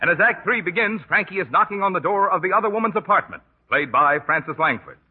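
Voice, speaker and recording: A man's voice: high voice, animated, quick pace, husky. A man announcing an old-time radio comedy sketch in a mock-grand, theatrical way. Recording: old tinny radio broadcast over music.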